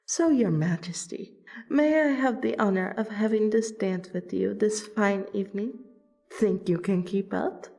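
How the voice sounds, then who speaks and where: A woman playing a flirtatious, courtly vampire royal in an ASMR roleplay, teasing and breathy. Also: mid-range voice, unhurried, warm tone, faint music behind. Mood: flirty, teasing.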